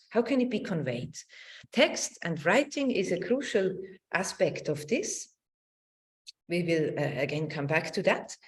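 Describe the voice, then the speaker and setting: A middle-aged woman giving an improvised academic lecture, searching for words and often repeating phrases. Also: on the low side.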